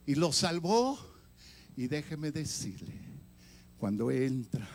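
An older man, an evangelist, telling his testimony in a sermon with dramatic storytelling that swings between loud and quiet. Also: fairly high pitch, sing-song, slow. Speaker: evangelist preacher.

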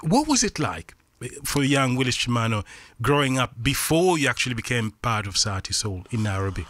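A man hosting a radio interview in a studio, unhurried and often restarting his sentences. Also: medium pitch, sing-song, a bit bright, no room sound. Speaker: radio host. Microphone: studio microphone.

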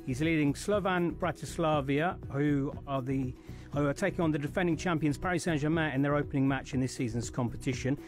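A male sports newsreader with a fairly high voice, speaking over a music bed. His delivery is scripted and crisp, in the manner of a radio bulletin. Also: fairly quick. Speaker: sports news reader.

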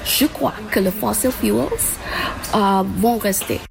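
A woman from the Philippines, answering an interview at a climate event in halting speech full of fillers and restarts. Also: mid-range voice, gravelly, slight background noise, poor audio. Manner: halting, many fillers and restarts, mixes in English terms. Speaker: conference participant.

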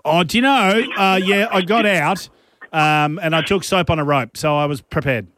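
A man's voice: a little high, moderate pace, fairly bright tone, dry recording. A man hosting breakfast radio, jokey and chummy with callers. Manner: jokey, chummy, laughs a lot. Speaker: radio host. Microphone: studio mic.

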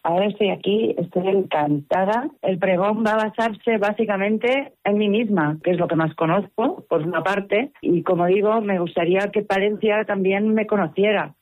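A woman's voice: medium pitch, a little mellow. A middle-aged woman with an accent from Spain, speaking informally in a lo-fi soundbite. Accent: Spanish from Spain. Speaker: poet, festival speaker. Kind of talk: informal interview answer. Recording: dull lo-fi radio soundbite.

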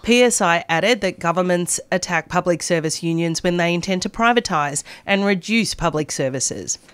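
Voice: medium pitch, lively intonation, normal speed, fairly bright tone, smooth. A radio news presenter, reading scripted news stories.

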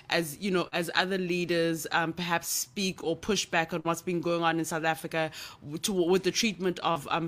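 A woman's voice: lowish voice, fairly bright tone. A woman hosting a casual discussion, chatty and playful, with many filler words. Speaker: host. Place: in Ghana.

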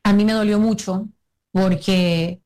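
A woman's voice: mid-range voice, fairly even intonation, takes it slowly, mellow. A woman in her thirties from Venezuela, answering interview questions earnestly, often restarting and hesitating.

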